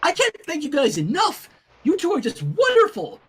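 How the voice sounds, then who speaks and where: A performer voicing a bubbly, affectionate young female pony princess with an excitable, cooing manner. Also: high-pitched, normal speed, pitched above the performer's own voice, full character voice. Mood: grateful, cheery.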